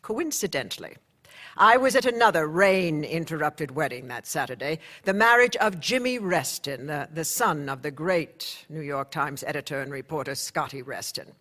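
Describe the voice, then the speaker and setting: An actress playing an older woman newspaper publisher, narrating her memories in a radio play with a measured, reflective delivery. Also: sits low, lively intonation, fairly bright tone.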